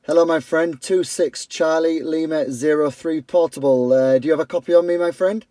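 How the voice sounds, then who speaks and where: A man's voice: a little high, steady tone, moderate pace, warm tone, dry recording. A man from York, running a portable CB station outdoors by his house. He is friendly and keeps calling the other operator "my friend.". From York, England. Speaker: CB radio operator. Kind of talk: radio contact exchange.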